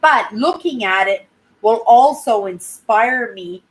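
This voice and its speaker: A woman in her sixties from Canada, chatting casually on her live stream in a clear, high-pitched voice and often ending sentences with "right?". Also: expressive, takes it slowly, rough recording. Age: 60s. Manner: rambling, tags sentences with "right?". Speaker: YouTube channel host.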